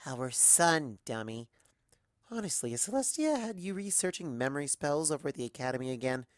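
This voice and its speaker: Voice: medium pitch, big pitch swings, brisk, no room sound, clean studio sound, pitched above the performer's own voice, close to an everyday voice. An adult narrator voicing an affectionate, teasing, protective mare and wife in a fan fiction reading.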